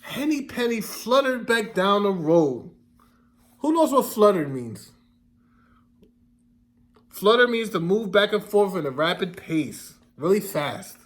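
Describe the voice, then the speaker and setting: Male storybook reader: high pitch, lively intonation, expressive, explanatory classroom delivery. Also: slow pace, long pauses. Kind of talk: children's read-aloud narration with questions to listeners.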